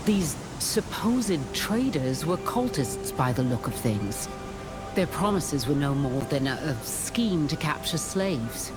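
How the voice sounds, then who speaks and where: A resolute female adventurer from a fantasy video game. Her voice sits low and carries a grave, protective purpose. Music plays clearly behind her.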